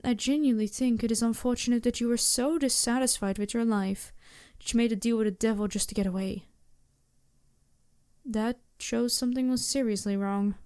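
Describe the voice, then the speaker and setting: A woman voicing a poised, imperious yet compassionate demon princess in an intimate ASMR roleplay, with a deliberate, softly commanding manner. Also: a little high, steady tone, clean tone, dry recording, studio quality, lightly acted. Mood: sympathetic.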